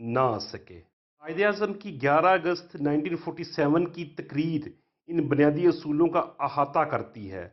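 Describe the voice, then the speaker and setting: Pakistani guy reading a history script, formal and measured, slightly high voice, muffled lo-fi audio. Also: lively intonation. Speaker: history channel narrator. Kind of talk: scripted educational narration.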